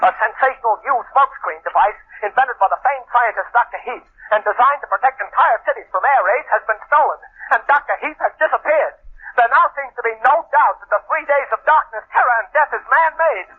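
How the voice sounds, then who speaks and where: An actor playing a brisk male police dispatcher and news announcer in an old radio drama, with an urgent, clipped announcing delivery. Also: very high-pitched, a little hiss or hum. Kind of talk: 1940s radio acting. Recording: tinny lo-fi old radio recording.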